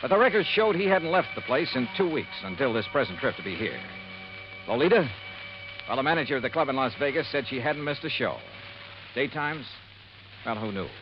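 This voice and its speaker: An actor playing a hard-boiled investigator who narrates his own case in a 1950s radio drama, crisp and brisk. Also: mid-range voice, animated, natural voice. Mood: narrating. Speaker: narrator and lead detective. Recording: muffled old radio broadcast with music.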